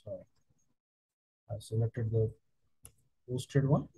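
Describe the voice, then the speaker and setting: A man working as a software trainer, narrating a step-by-step tutorial in halting, piecemeal phrases. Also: on the low side, steady tone, husky, poor audio.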